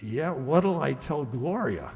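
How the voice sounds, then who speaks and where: An actor playing an anxious, shaken husband in a radio play. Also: male voice, mid-range voice, animated, slow pace, darkish tone. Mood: worried. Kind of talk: live radio play acting. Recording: echoey hall with long reverb.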